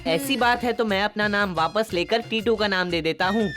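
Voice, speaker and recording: A woman plays a studious cartoon schoolboy with a mid-pitched voice. He is polite and shrewd, reasoning things out. Music plays in the background. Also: normal speed, fairly bright tone, lightly acted. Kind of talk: Hindi kids' cartoon dubbing.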